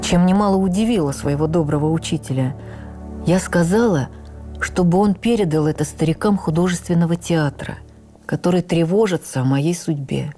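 A woman playing an actress who recalls her life in the theatre, narrating in the first person for radio drama in an expressive, measured, literary way. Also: fairly low pitch, natural voice. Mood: earnest, reflective. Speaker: actress reading a stage memoir. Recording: radio broadcast with faint music.

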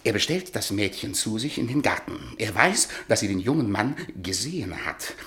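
An actor in a German radio drama playing an exuberant middle-aged male playwright with a theatrical, excitable manner. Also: on the low side, normal speed. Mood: reading aloud. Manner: theatrical, swinging between musing and excitement.

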